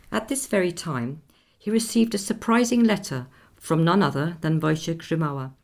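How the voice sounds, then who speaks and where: A composed woman recounts a story in a radio drama. Her voice is very low for a woman, with a marked creak at the edges. She speaks in measured, articulate sentences, like someone carefully telling a history she knows well. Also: darkish tone, full character voice. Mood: measured, sombre. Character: Miss Lind, composed woman recounting a composer friend's last tour. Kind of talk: scripted radio play narration.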